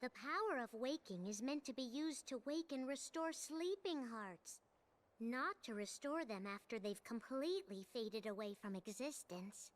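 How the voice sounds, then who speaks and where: Small, high-pitched cat-like spirit guide. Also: female voice, animated. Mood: grave warning. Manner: earnest, deliberate, cautioning. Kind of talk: video game cutscene voice acting.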